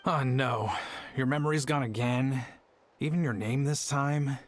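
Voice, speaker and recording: A man's voice: medium pitch, a little slow, slight background noise, lightly acted. A man playing a polite, apologetic merchant and family man in a video game, mild and a little hesitant. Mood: concerned.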